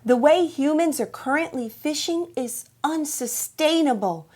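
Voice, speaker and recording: A woman voicing an earnest mermaid who pleads for her oceans in a measured, dramatic rhyming picture-book read-aloud. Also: high voice, a little mellow, pitched above the performer's own voice. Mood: grave, insistent.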